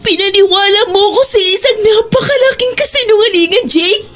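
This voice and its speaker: Actress for heartbroken girlfriend, Filipino accent, extremely high pitch, accusing and melodramatic audio-drama delivery. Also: moderate pace, a bit bright. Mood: tearful accusation. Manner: tearful, emphatic, confrontational.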